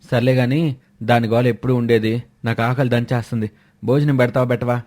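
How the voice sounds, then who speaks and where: A man's voice: medium pitch, level tone, a little fast, a little mellow, natural voice. A man playing a greedy, defensive village donkey-milk seller and husband in a fairy tale. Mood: grumbling. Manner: defensive, complaining. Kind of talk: acted dialogue in a moral fairy tale.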